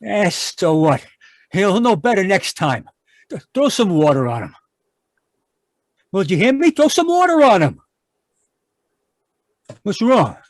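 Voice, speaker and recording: A man's voice: high voice, very animated, a little slow, long pauses, no room sound, exaggerated voice. A man playing a brutish, impatient crook in an old-time radio crime drama, bossy and callous.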